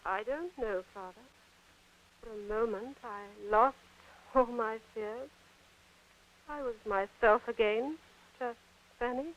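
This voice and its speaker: An actress playing an earnest, frightened young stage debutante in 1940s radio drama style. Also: female voice, fairly high pitch, takes it slowly, pauses often, a bit bright. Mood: soft, wondering. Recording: muffled 1940 radio drama recording.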